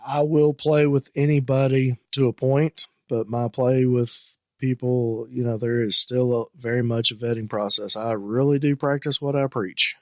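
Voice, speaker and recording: A man's voice: mid-range voice, steady tone, normal speed, hardly any pauses, dry recording. Earnest man hosting a podcast. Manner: earnest, emphatic, gets heated on the topic. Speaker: podcast host. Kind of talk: casual podcast discussion.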